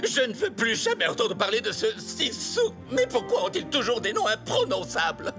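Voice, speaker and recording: An actor playing a fussy, petulant colonial governor in a cartoon, with a whiny, theatrical way of talking. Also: male voice, very high voice, wildly swooping pitch, moderate pace, heavily acted. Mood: exasperated, panicky. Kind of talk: French cartoon voice acting. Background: background music.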